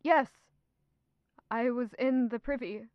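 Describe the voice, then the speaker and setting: A woman's voice: a little high, fairly even intonation, slow, no echo, muffled recording. An actress in an audio drama playing a guarded, evasive young noblewoman who claims to be unwell, answering reluctantly.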